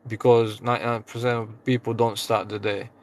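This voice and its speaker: A man's voice: on the low side, little pitch movement, fairly quick. A man speaking English as a second language, casually giving a rambling motivational talk to camera, often restarting words and swearing freely. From outside the UK. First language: not English. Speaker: vlogger, trading channel host.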